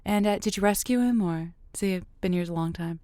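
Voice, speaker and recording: A woman's voice: mid-range voice, a little fast, mellow, no room sound, clean studio sound, lightly acted. A woman playing an upbeat, encouraging matchmaking host on a radio comedy, chatty and playful with callers.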